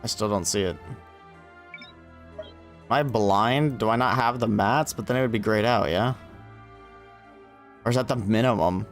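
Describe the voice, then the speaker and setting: A man's voice: lowish voice, normal speed, pauses often, faint music behind. A man giving casual livestream commentary on a video game, thinking aloud and questioning himself as he plays.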